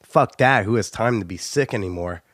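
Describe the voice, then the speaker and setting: A man's voice: on the low side, normal speed, fairly bright tone, no room sound, clean studio sound. A young man, stuffy from a cold, hosting a casual, joking podcast intro with plenty of "uh"s. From United States. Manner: joking and chatty, with frequent filler "uh". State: stuffy nose. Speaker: podcast host.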